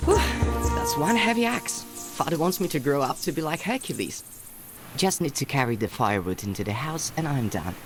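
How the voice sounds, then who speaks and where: An eager, innocent boy from a fairy-tale game, played by an actor. His voice moves up and down in a very animated way, with music playing clearly behind it. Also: mid-range voice, normal speed, lightly acted. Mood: dutiful, cheerful. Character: earnest, dutiful young boy, caring big brother.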